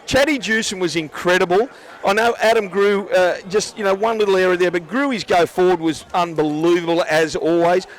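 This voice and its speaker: An Australian man commentating a rugby league match live, excitable and repeating himself, nearly losing his voice. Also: high voice, fairly quick, rough recording. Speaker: sports commentator.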